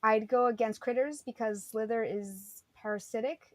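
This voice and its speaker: A woman's voice: on the high side, fairly even intonation, normal speed, no echo. A woman co-hosting a casual talk show, chatting and reading out listener comments. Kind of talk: casual chat.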